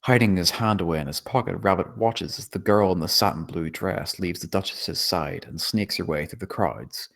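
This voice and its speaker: A man's voice: deep voice, normal speed, gravelly, hardly any pauses, a bit bright. A man narrating a screenplay, reading out stage directions at a table read.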